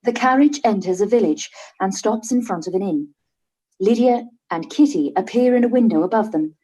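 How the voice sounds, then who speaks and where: A woman reading the stage directions for a live-read radio play. Her voice is mellow, mid-pitched and calm. The reading stays even throughout. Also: moderate pace, slightly rough, poor audio. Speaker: narrator.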